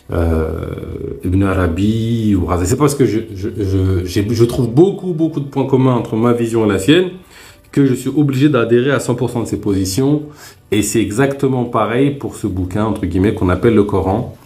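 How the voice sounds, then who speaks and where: A man's voice: lowish voice, darkish tone, echoey room. A man hosting a live stream, earnestly explaining his views in a casual spoken monologue.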